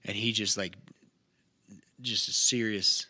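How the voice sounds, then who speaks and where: A man's voice: lowish voice, steady tone, slow, pushed and bright. A man narrating a tabletop game as game master, in casual, loosely improvised phrasing.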